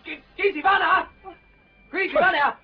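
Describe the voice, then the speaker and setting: A man's voice: very high voice, fairly even intonation, normal speed. A man playing an agitated, rude customer in a radio drama, pushy and full of exclamations. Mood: agitated, angry. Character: agitated, rude male customer demanding answers. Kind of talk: Cantonese radio drama acting. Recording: dull lo-fi radio recording, loud music.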